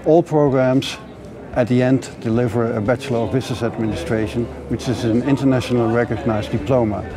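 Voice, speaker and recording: A program director giving a formal, measured welcome address. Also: male voice, mid-range voice, steady tone, crowd noise. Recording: music bed underneath.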